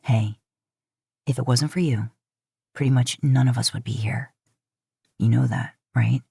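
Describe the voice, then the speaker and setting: A woman voicing a gruff, laid-back, loyal grown man in a story. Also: rumbling low, fairly even intonation, unhurried, mellow, no room sound, studio quality, pitched below the performer's own voice, heavily acted. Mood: sincere.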